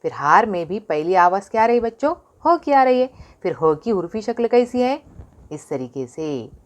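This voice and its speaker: A woman teaching a recorded Urdu lesson to young children, with an Indian Urdu accent, asking questions and answering them herself, stretching and repeating words slowly. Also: medium pitch, sing-song. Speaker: primary school Urdu teacher.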